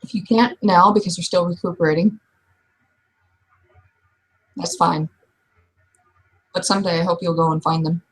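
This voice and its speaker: A woman's voice: lowish voice, fairly even intonation, moderate pace, pauses often, breathy edge, low-quality recording. A woman in a casual tabletop roleplaying session, speaking conversationally both in character and as game master.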